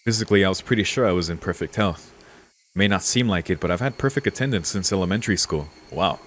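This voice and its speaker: A man with a low-pitched voice works through a story game as a let's player. He reads narration aloud and muses on it casually, in a laid-back way. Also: lively intonation, fast talker, fairly bright tone. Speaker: let's-play streamer. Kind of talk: reading game text aloud with casual commentary.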